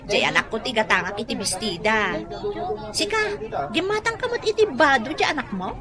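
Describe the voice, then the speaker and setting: A woman's voice: fairly high pitch, lively intonation, moderate pace, over a noisy crowd, lightly acted. An actress with an Ilocano accent playing a cheerful, giggly, friendly neighbourhood mother in a comedy radio drama. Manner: smiling, laughing often.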